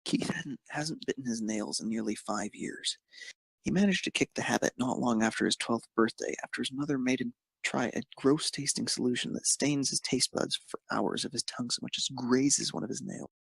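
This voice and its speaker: A man's voice: mid-range voice, normal speed, gravelly, fairly bright tone, breathy edge, no echo. A man reading scripted fan fiction narration, occasionally stumbling over the words.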